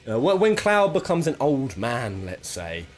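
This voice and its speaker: A man's voice: medium pitch, huge pitch swings, normal speed. A British man giving casual, jokey top-ten video game commentary, rambling and thinking aloud as he goes. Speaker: video game countdown host.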